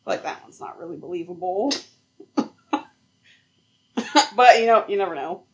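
A woman's voice: lowish voice, expressive, a little slow, dull audio, a little hiss or hum. Female podcast co-host, casual storyteller, laughs through her lines. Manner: giggly, rambling, laughs mid-sentence.

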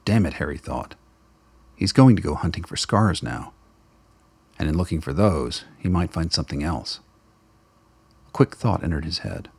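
A man with a gravelly, husky voice giving dramatic scripted narration for a radio play. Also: deep voice, lively intonation, moderate pace, long pauses, darkish tone, no room sound.

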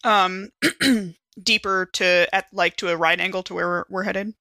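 A woman's voice: mid-range voice, steady tone, measured pace, pushed and bright, dry recording. A woman playing a tabletop game, playfully describing her character's actions. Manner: playful, laughs easily. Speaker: player.

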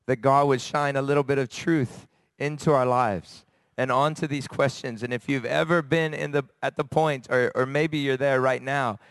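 A man from America preaches to his congregation with a voice gone raspy and hoarse. He speaks earnestly, searching for words with pauses and fillers. His middle-pitched voice sounds close and dry on a handheld mic. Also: fairly even intonation, fairly bright tone, dry recording. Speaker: church elder preaching. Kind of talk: sermon. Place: church service. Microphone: handheld microphone.

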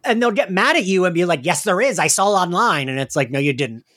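A man's voice: high-pitched, lively intonation, fast talker, fairly bright tone. A man co-hosting a podcast, chatting casually and animatedly as he recaps a story and acts out little quotes. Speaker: podcast co-host.

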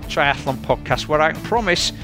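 A man's voice: a little high, lively intonation, moderate pace, over music. A man with a Yorkshire accent hosting a fitness podcast, chatty and friendly, interviewing a guest. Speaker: podcast host.

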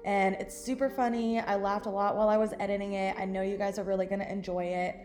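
A woman's voice: medium pitch, little pitch movement, fast talker, darkish tone. Echoey woman hosting a podcast. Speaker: podcast host. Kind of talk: scripted podcast introduction. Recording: roomy, echoing sound. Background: music.

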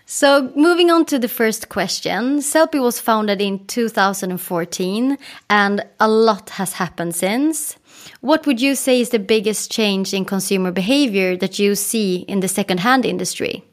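A woman hosting a podcast, reading a smooth, upbeat scripted intro and then chatting in a friendly way with her guest. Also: medium pitch, normal speed. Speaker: podcast host. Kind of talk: scripted intro and casual interview.

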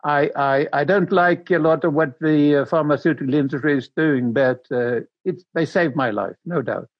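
An older man from Sweden with a Swedish accent, speaking English as a non-native and recounting his life in a halting way, repeating words as he searches for them. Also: on the high side, dry recording, dull audio. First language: Swedish and Danish. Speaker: interview guest.

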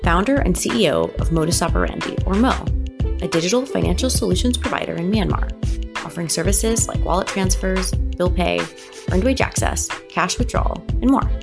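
A podcast host with a fairly low, gravelly voice reads out an upbeat prepared introduction. Music plays clearly behind her. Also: expressive, brisk. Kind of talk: scripted intro and interview questions.

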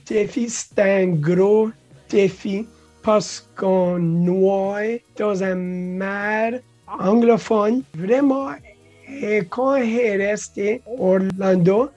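Slow, halting woman. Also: medium pitch, fairly even intonation, mellow, dull audio, faint music behind. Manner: halting, restarts words, mixes English into French, laughs often. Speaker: guest. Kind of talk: casual interview talk.

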